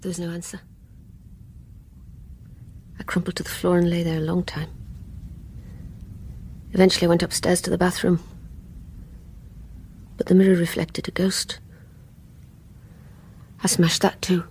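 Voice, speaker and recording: An actress playing a guilt-ridden, envious woman confessing in an intense radio play performance, with a breathy voice. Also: lowish voice, flat delivery, a little slow, long pauses, a little hiss or hum. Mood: bleak, numb. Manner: intense, wavering between hushed confiding and anguished outburst. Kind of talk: radio play acting, dramatic confessional monologue.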